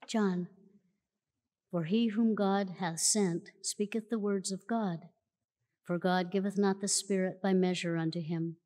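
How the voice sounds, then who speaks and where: A woman reading scripture aloud at a church lectern in a measured, solemn way, recorded in a large church auditorium. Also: medium pitch, steady tone, warm tone. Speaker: church reader. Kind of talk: slow formal scripture reading. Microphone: lectern mic from a far camera. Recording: long church hall reverb.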